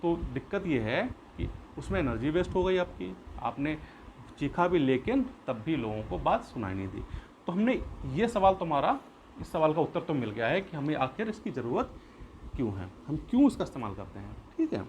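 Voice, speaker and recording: Male teacher, fairly high pitch; explains step by step with rhetorical questions, light noise behind the voice. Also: big pitch swings, moderate pace, darkish tone, highs cut off. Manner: repeats and rephrases points, poses questions then answers them. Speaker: school teacher. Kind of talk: explanatory classroom-style lesson. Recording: clear background noise.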